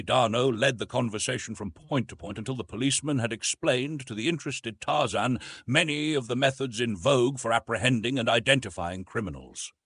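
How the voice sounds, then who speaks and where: A man's voice: medium pitch, a bit bright, breathy edge, no echo, studio quality. A man narrating an adventure novel as an audiobook in a scripted storytelling style.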